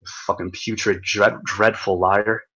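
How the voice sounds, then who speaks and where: Guy ranting on a livestream, voice getting hoarse, swears a lot, keeps repeating himself. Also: on the low side, little pitch movement, unhurried, fairly bright tone, highs cut off. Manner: profane, repetitive, stumbling. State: voice going hoarse. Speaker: livestream host. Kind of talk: rambling livestream rant.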